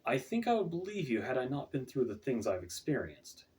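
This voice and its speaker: A man's voice: mid-range voice, very animated, brisk, darkish tone, a little hiss or hum, voice pushed up, natural voice. A man voicing a regretful, conflicted demon swordsman in a storybook, apologetic and hesitant. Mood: disbelieving. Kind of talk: storybook character voice. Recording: distant, off-mic home recording.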